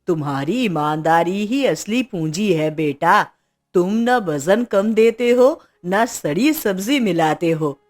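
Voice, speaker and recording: A woman's voice: fairly low pitch, lively intonation, takes it slowly, faint music behind. A woman playing a kindly, thrifty middle-aged aunt in a cartoon story, with an affectionate manner.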